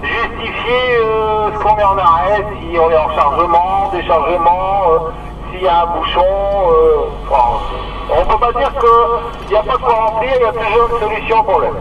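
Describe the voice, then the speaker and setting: A man's voice: high voice, normal speed. A man in a casual radio chat with another driver, explaining things in a matter-of-fact, agreeable way. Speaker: working truck driver on a radio contact. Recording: dull distant radio sound, echoey, noisy.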